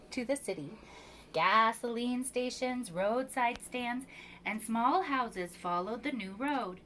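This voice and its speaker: Female preschool storyteller, lightly high voice, very slow expressive read-aloud, noisy ambient recording. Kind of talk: reading a children's picture book aloud.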